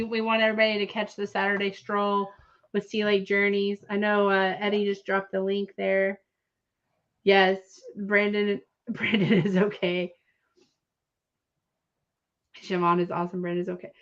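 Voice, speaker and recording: A woman's voice: mid-range voice, little pitch movement, moderate pace, a bit bright. A woman, co-hosting a casual livestream chat and laughing often. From South Texas. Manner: chatty, laughs often, says y'all. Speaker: livestream co-host. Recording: lo-fi stream audio.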